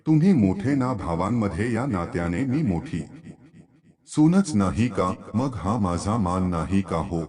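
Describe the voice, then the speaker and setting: A man's voice: low-pitched, sing-song, measured pace, mellow. A man voicing a resentful, argumentative wife in a moral story, complaining and defensive. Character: resentful, argumentative wife, elder daughter-in-law of the family.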